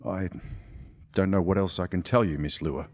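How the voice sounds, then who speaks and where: A man's voice: sits low, fairly even intonation, normal speed, a little mellow. A man playing a weary military investigator in a community radio play, grave and methodical with some faltering.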